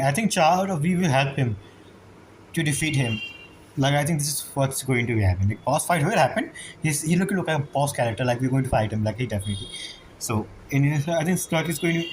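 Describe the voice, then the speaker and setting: A man giving casual, rambling gameplay commentary as he reacts to a story, speaking informally and haltingly. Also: medium pitch, expressive, a little hiss or hum, low-quality recording. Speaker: gamer reacting to a story quest. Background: game music.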